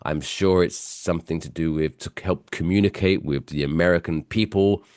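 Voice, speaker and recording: A man with a British accent, softened by years in America, telling stories as a podcast co-host while stuffy with a cold. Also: deep voice, normal speed, dry recording, clean studio sound. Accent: British English softened by years in the US. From England. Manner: chatty, self-mocking storyteller. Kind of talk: casual podcast chat.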